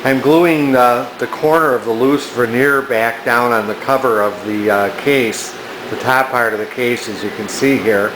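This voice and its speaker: A man's voice: medium pitch, fairly even intonation, a little slow, noisy background. A man casually talking through an antique radio repair in his workshop, plain and hesitant with frequent fillers. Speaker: radio restorer. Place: home workshop.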